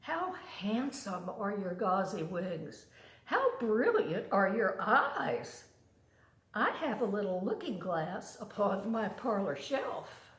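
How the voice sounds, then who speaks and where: Storybook spider, male and cunning, played by a woman: sugary flattery, slow and very sing-song, roomy sound. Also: medium pitch. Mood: flattering. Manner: coaxing, sugary, drawn-out phrasing. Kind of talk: storybook poem read aloud.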